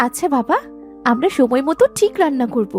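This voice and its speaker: A woman voicing a cocky, dismissive young elder sister in an animated fairy tale. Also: sits high, moderate pace, soft background music. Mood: confident.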